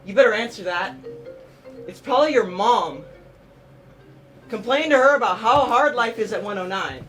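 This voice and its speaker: A woman's voice: a little high, animated, moderate pace, over music, lightly acted. A young woman, a student stage actor, playing a domineering, scornful spouse who complains and condescends in a live one-act play. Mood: scornful, controlling. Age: teenager or young adult student. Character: domineering, fault-finding spouse named Sam, married two years. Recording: distant noisy stage recording.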